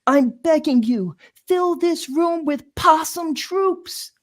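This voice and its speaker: A man voicing a frantic, bossy cartoon schemer who shouts in shrill exasperation. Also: slow. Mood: exasperated.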